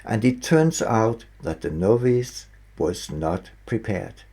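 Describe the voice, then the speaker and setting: A man reads an audiobook slowly in a low, gravelly voice. He phrases deliberately, setting short pieces apart with emphasis, and his pitch moves expressively. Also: expressive, mellow. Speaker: author reading own audiobook. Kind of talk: scripted audiobook narration.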